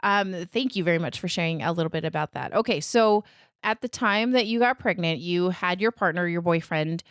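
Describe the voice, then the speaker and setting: A woman's voice: on the low side, brisk, clean tone, dry recording, studio quality. A woman hosting a podcast interview, chatty and encouraging, with fillers and restarts. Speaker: podcast host.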